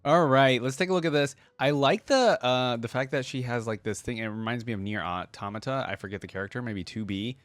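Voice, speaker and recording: Man, mid-range pitch, informal reaction host, enthusiastic, unscripted, thinking aloud, dry recording. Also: a little fast, few pauses, no echo. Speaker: reaction video creator and host. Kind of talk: casual off-the-cuff reaction commentary.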